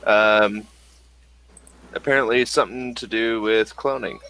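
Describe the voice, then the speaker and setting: A man running a tabletop game as game master, talking haltingly with many pauses and restarts. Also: lowish voice, steady tone, pushed and bright, smooth, rough recording.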